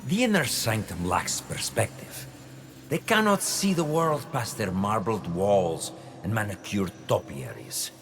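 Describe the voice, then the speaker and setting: Casting: cold, ambitious male schemer for a game, bass-low, animated intonation, deliberate delivery, faint ambience behind. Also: slight background noise, heavily acted. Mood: cold, calculating. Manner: deliberate, imperious, silky menace. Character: cold, scheming Templar villain, a calculating man of ambition. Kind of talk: video game dramatic acting.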